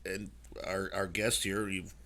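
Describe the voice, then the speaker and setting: A man hosting a talk radio show, speaking conversationally in a halting, earnest way. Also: low-pitched, level tone, a bit bright, slight background noise.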